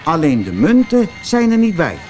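A man's voice: on the high side, wildly swooping pitch, moderate pace, darkish tone, pitched above the performer's own voice. A man voicing a brave, confident little baby superhero in a children's storybook. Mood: matter-of-fact.

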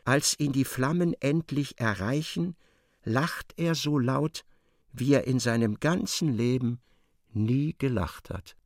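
An actor narrating a literary radio play as a grave, intense storyteller with deliberate delivery. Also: male voice, mid-range voice, no echo, studio quality.

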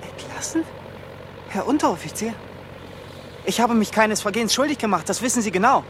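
An actor playing an earnest, eager young apprentice in a radio drama, respectful in manner. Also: male voice, sits high, lively intonation, fairly quick, background noise. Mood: indignant pleading. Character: earnest, eager poor teenage apprentice and inventor.

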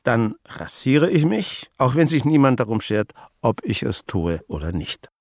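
A man's voice: medium pitch, big pitch swings, measured pace, a little mellow, no echo. An actor playing an older grandfather in a radio drama, wry and hesitant, set in his ways and given to dry questions. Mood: wry, matter-of-fact. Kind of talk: naturalistic German radio drama dialogue.

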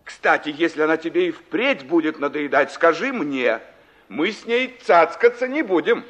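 A man's voice: a little high, expressive, normal speed, fairly bright tone. An actor playing a bossy, mocking man from a village cooperative in a Soviet radio drama. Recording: muffled 1960s radio play recording.

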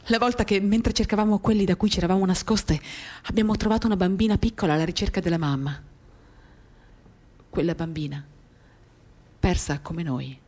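A woman gives an expressive, lyrical dramatic reading of a first-person literary story, shifting in intensity as she tells it. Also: mid-range voice, a little fast, long pauses. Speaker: reader of a literary work. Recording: acoustic guitar music accompanying the voice. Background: acoustic guitar.

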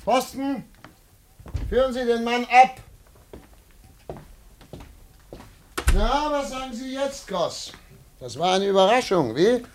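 A man's voice: extremely high pitch, lively intonation, unhurried, slight background noise. A man playing a brusque, cynical investigator in a German radio drama, speaking with sardonic, clipped authority. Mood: commanding. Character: brusque, cynical male investigator, sceptical and commanding. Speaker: investigator and narrator.

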